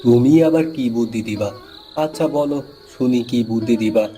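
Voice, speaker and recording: A man's voice: mid-range voice, fairly quick, warm tone, faint music behind, lightly acted. A man voicing an honest, humble village tea seller and husband in a cartoon moral tale, with a plaintive, earnest delivery. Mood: reluctant. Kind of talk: Bengali cartoon moral-story voice acting.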